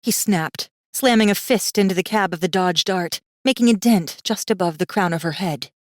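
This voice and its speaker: A woman's voice: fairly low pitch, normal speed, no echo, studio quality. A woman narrating a fantasy audiobook in a dramatic, scripted style with tense, suspenseful storytelling.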